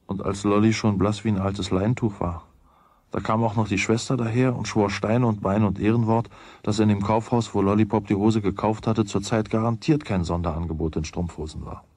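A man with a husky voice reading scripted storybook narration in a radio play. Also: lowish voice, fairly even intonation, a little fast, a little mellow, dry recording.

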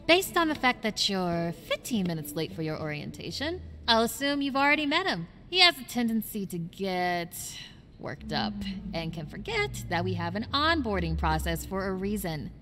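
An actress playing a brisk young woman who runs operations, speaking matter-of-factly. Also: mid-range voice, big pitch swings, fairly bright tone, in a large hall, slight background noise. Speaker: operations coordinator.

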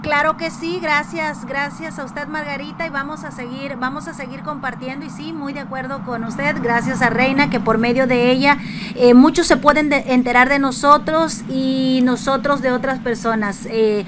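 A woman's voice: sits high, fairly even intonation, moderate pace, heavy background noise. A woman hosting a conversational radio interview, speaking effusively and often repeating her words. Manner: effusive, hesitant phrasing with frequent repetition. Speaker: radio show host.

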